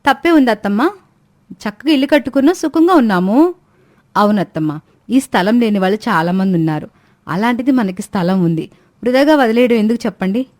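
A woman's voice: on the high side, expressive, brisk, darkish tone, no room sound, lightly acted. A woman voicing a polite, cheerful young daughter-in-law in a cartoon moral story. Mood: persuasive. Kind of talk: animated moral-story voice acting.

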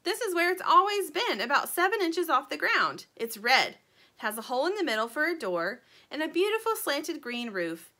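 A woman voicing a little female blue bird, earnest, naive and excitable, in a children's storybook. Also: sits high, moderate pace, voice pushed up, heavily acted.

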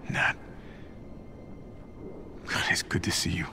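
An actor playing an earnest, weary superhero leader in a video game, restrained and sincere. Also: male voice, takes it slowly, bright, forceful tone, soft background music. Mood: warm, relieved. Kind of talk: video game cutscene acting.

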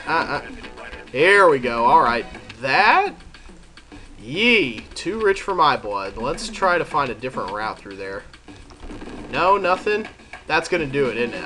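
A man with a head cold, sounding congested, chatting and thinking aloud as he commentates a video game. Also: mid-range voice. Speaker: let's play commentator. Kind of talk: casual gameplay commentary. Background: game music.

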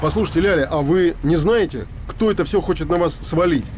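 A man's voice: fairly high pitch, brisk, a little mellow, close to an everyday voice. A man in his forties playing a persistent, inquisitive theatre director in a naturalistic screen drama. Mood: insistent, agitated. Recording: film dialogue over street and car noise.

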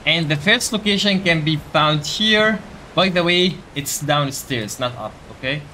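A man's voice: on the high side, lively intonation, measured pace, sharp tone, a little hiss or hum. A man giving a casual gameplay tutorial, explaining steps directly to viewers. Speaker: gaming video creator giving a quest guide.

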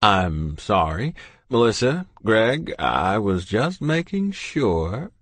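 A man voicing a kindly, cheerful older male doctor who speaks gently and slowly. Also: lowish voice, sing-song, no room sound, heavily acted. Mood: hesitant. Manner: gentle, unhurried, pleased.

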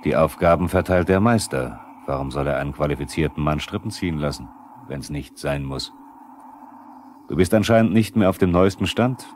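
Sober probing narrator, very deep voice, scripted radio drama, noisy 1970s recording. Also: male voice, moderate pace, a little mellow. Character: sober probing male narrator who challenges the workers. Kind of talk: scripted radio play narration, questioning the characters.

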